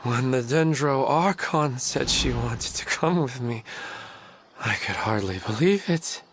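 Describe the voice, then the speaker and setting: A slow, wistful dreamer. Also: male voice, mid-range voice, lively intonation, music in the background. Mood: tender, wistful. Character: wistful, dazed man lost in a dream of his wife. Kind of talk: video game character voice acting.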